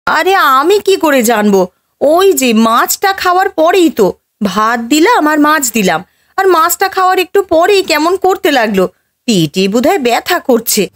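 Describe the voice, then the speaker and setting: A woman's voice: sits high, big pitch swings, moderate pace, a bit bright. A woman voicing an earnest, worried village wife and mother in a cartoon, given to scolding. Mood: flustered. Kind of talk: cartoon voice acting.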